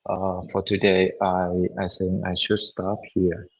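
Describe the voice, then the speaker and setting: A hoarse man lecturing at an unhurried, drawn-out pace, stopping often to repeat himself and hunt for words. His voice sits low and gravelly with a rough, husky edge. The audio is dull and lo-fi. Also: monotone. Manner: halting, with many repeats and fillers. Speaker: university lecturer. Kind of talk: online lecture.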